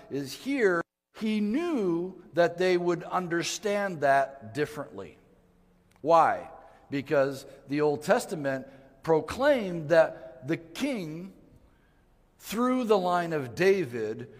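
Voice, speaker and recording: An older man who grew up in West Africa, speaking as a missionary in an earnest, emphatic preaching manner with frequent restarts. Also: sits high. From West Africa (Mali). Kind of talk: prayer then church missionary talk. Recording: long hall reverb.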